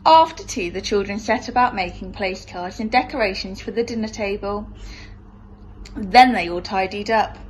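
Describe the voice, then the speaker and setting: A woman's voice: medium pitch, moderate pace, a little hiss or hum, low-quality recording. A woman reading a children's storybook expressively, in the style of a primary school teacher.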